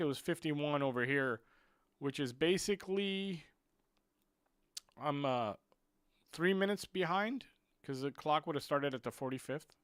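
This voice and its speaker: A man live-streaming a football watchalong, switching between muttering while he fixes his stream clock and excited, frustrated rants about the players. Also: sits high, clean tone, no room sound, studio quality. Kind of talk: live stream match commentary.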